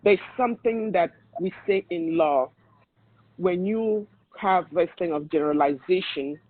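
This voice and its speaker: A man with a Nigerian accent holds forth on a podcast, laying down blunt advice in a lecturing tone. His voice runs high and has a husky grain. The sound is narrow and tinny, like a phone call. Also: unhurried, dry recording. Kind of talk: opinionated podcast discussion. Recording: tinny phone-line audio.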